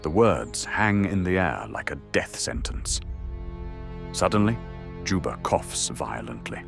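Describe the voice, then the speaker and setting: A man's voice: sits low, very animated, measured pace, gravelly. A man delivering dramatic scripted narration for an audio drama. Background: faint music.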